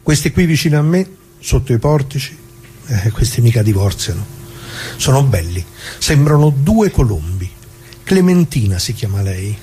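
A man's voice: mid-range voice, big pitch swings, normal speed, close to an everyday voice. An actor playing a talkative, opinionated old communist grandfather who reminisces in a colloquial, confiding way in a radio theatre monologue. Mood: warm, proud. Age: older.

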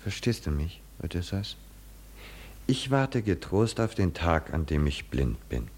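A man in a 1950s German radio drama, playing an impassioned, philosophical poet-seer who speaks in fervent rhetoric with deliberate dramatic pauses. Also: low voice, sing-song, darkish tone, breathy edge, full character voice. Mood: calm, assured. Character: impassioned philosophical male poet-seer awaiting his blindness.

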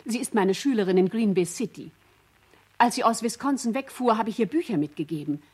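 Husky, lively schoolteacher. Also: female voice, mid-range voice, brisk. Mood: reflective, troubled. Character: concerned female schoolteacher recalling her pupil. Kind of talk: radio play witness testimony.

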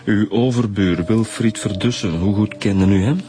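An actor playing a down-to-earth Flemish police detective in a radio drama, questioning carefully with small hesitations. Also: male voice, fairly low pitch, normal speed, dark tone, close to an everyday voice. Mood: probing, polite. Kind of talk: naturalistic radio drama acting.

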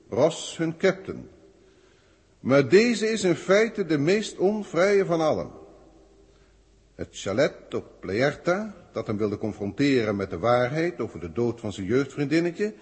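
A man's voice: a little high, husky, exaggerated voice. A man playing a pompous, exasperated senior alien official in a 1960s radio drama, speaking in a formal, theatrical way. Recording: old radio recording, long hall reverb.

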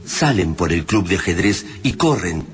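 A male narrator with a lowish voice and an Argentine accent tells a radio drama. His scripted, dramatic narration sits over loud music. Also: animated, moderate pace. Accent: Argentine Spanish.

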